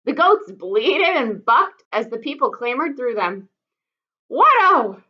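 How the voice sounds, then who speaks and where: A woman's voice: a little high, big pitch swings, unhurried. A woman reading a children's story aloud expressively, like a teacher, in slightly dull audio.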